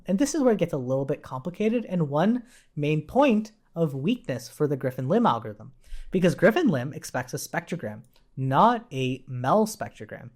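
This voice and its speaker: A man giving an informal coding tutorial, thinking aloud as he explains, repeating phrases and checking in as he goes. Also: fairly high pitch. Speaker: programming instructor. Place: indoors at a computer with keyboard typing.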